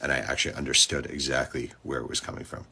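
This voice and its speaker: A man's voice: rumbling low, level tone, fast, creaky, over music. An American man, a sportswriter, telling an anecdote in a casual, direct storytelling style. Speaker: sportswriter and biographer.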